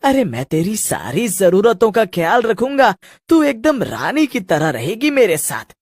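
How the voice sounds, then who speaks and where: A woman's voice: mid-range voice, very animated, a little slow, a little mellow, breathy edge, no room sound, clean studio sound, heavily acted. A woman voicing a spiteful young male loafer in a Hindi cartoon, with a wheedling, taunting manner. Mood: pleading. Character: smarmy, spiteful young village loafer man, pestering suitor.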